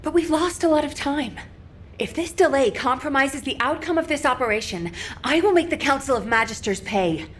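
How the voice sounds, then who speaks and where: A woman's voice: high voice, animated, normal speed, fairly bright tone, slight background noise. An actress playing a determined woman fighter with a wry, teasing streak. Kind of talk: game cutscene voice acting.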